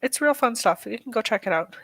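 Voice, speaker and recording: A woman's voice: a little high, lively intonation, fairly quick, breathy edge, no echo, poor audio. A woman co-hosting a casual stream, chatting upbeat with frequent fillers about her book club. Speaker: co-host, book club runner. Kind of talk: casual chat.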